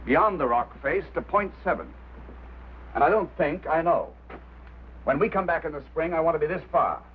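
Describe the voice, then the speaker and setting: On a tinny, noisy vintage soundtrack, an actor plays a brusque mining boss, a man who gives orders and brushes off doubts. His pitch moves widely as he speaks, in old screen drama style. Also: expressive, moderate pace, close to an everyday voice. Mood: demanding. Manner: commanding, dismissive. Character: brusque, impatient, skeptical mining company boss. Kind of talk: mid-century screen drama acting.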